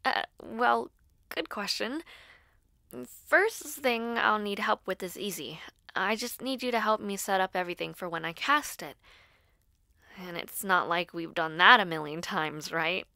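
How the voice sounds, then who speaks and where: A woman acting an earnest, nervous school-age girl who dabbles in spells, speaking haltingly and intimately to the listener in an audio roleplay. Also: medium pitch, creaky, no room sound, studio quality. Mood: eager, slightly sheepish. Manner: hesitant, halting, soft-spoken asides.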